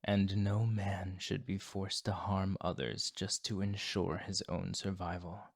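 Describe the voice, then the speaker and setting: A man voicing a remorseful male vampire fighting his bloodlust, in a solemn, hushed, formal ASMR roleplay monologue. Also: deep voice, flat delivery, unhurried, gravelly, no room sound, clean studio sound, heavily acted. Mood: haunted, grieving.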